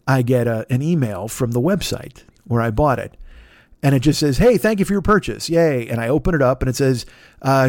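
A man hosting a podcast, telling a long comic story in a rambling, animated way, cursing constantly and acting out the exchanges he quotes. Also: mid-range voice, no room sound, studio quality. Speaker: podcast host. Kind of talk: profane comedic storytelling.

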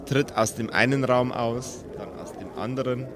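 A man narrating an improvised tabletop game as game master, in a theatrical, deliberate storytelling manner. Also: mid-range voice, steady tone, a crowd in the background.

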